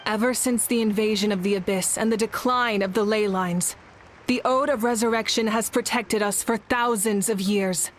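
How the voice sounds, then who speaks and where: A woman playing a commanding warrior leader in a video game, solemn and rallying, speaking with measured, dignified oratory. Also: medium pitch, steady tone, slight background noise, lightly acted. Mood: solemn, proud.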